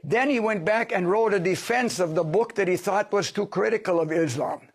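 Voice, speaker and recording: A man's voice: high-pitched, normal speed. An older man answering interview questions as a sociologist, emphatic and lecturing, asking himself rhetorical questions.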